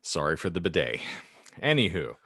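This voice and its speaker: Deep-voiced, animated American man hosting. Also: normal speed, breathy edge, no room sound, clean studio sound. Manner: wordy, playful, mock-formal delivery. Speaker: podcast host. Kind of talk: jokey hosting of a video podcast.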